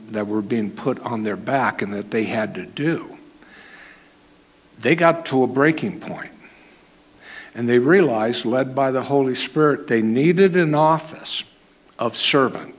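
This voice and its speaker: A man teaching a sermon in church, with a naturally loud voice held down, talking in a conversational way and asking the congregation questions. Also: mid-range voice. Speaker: preacher. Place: church hall.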